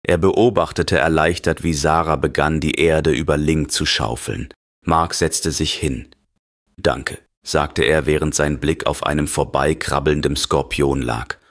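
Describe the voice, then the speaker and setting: A man narrating a scripted horror story in a grave, measured manner. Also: rumbling low, fairly even intonation, no echo, clean studio sound. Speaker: audiobook narrator.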